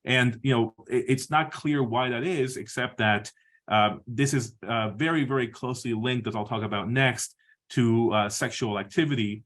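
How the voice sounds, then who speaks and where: A middle-aged man from Peru giving a measured webinar lecture as a surgeon, explaining slides with frequent restarts and fillers. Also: medium pitch, steady tone, fairly bright tone.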